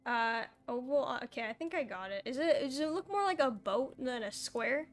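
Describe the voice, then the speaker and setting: A woman's voice: sits high, lively intonation, normal speed, faint music behind. A young adult woman chatting casually as she plays a co-op puzzle game. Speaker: gaming video host and player. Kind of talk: casual chat during co-op puzzle gameplay. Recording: noticeable background noise and game ambience.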